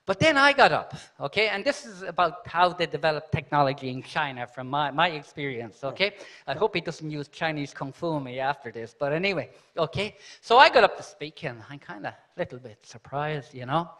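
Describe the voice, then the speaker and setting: A man from Ireland, hosting a conference and telling an animated story to the audience, often checking in with "okay?". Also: fairly high pitch, fairly quick. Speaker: conference host. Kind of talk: live conference speech with anecdotes. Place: large conference hall.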